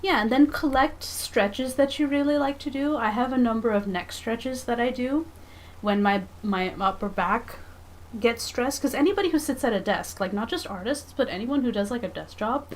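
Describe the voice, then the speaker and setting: A woman's voice: a little high, moderate pace, a little hiss or hum. A woman in her thirties chatting casually on a livestream from her home drawing desk, rambling and self-deprecating. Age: 30s. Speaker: comic artist streamer.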